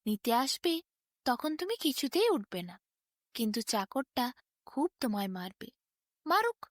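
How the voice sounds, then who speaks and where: A woman's voice: on the high side, no room sound, studio quality. A woman voicing a crafty, sympathetic donkey who gives sly advice in a coaxing, instructive manner for a storybook tale.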